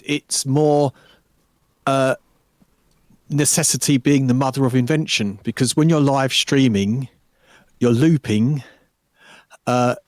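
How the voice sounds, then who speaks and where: A man who is a guest musician, talking in a thoughtful, measured way with many restarts. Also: medium pitch, dry recording, clean studio sound. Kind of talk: casual interview chat.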